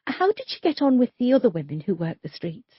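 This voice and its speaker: Polite nervous young policewoman, higher voice, husky and kinda dull sounding, as a woman reads her. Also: big pitch swings, brisk, no room sound. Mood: questioning. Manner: polite, hesitant, placating.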